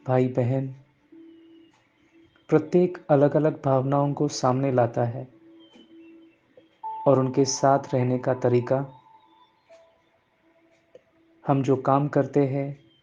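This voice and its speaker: A man leading a guided meditation in slow, measured phrases with long pauses between them. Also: mid-range voice, dark tone. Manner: repeats some phrases in English. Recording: dull lo-fi sound, music bed. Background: background music.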